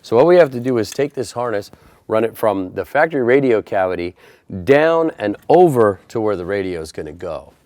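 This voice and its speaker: A man's voice: lowish voice, very animated, a little fast, darkish tone. A man presenting a how-to installation tutorial, explaining each step in a plain, methodical way. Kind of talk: step-by-step instructional narration.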